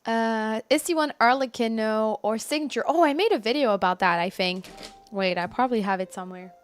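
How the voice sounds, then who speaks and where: A woman's voice: on the high side, fairly quick, smooth, dry recording. A woman in her early twenties chatting casually as a game streamer, reading out and answering viewer messages. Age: early 20s. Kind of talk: casual livestream chat.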